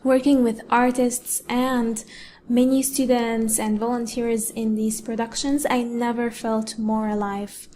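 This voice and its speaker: A woman from Egypt, a pianist and educator, giving a prepared speech in a measured way with deliberate pauses. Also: on the high side, flat delivery, dark tone, a little hiss or hum. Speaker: award-winning pianist and educator giving a talk.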